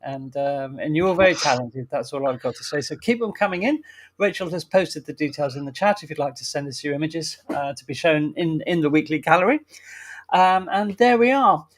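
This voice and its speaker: A man co-hosting a live astronomy chat, quick to praise and encourage viewers. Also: a little high. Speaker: co-host. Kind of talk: casual chat on a live stream.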